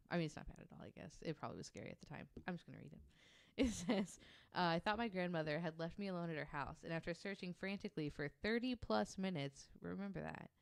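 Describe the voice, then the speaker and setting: Woman on a podcast, smooth kinda low voice, just chatting and reading stuff out with little asides. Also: no room sound. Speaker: podcast guest co-host. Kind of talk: casual chat, reading out stories with asides.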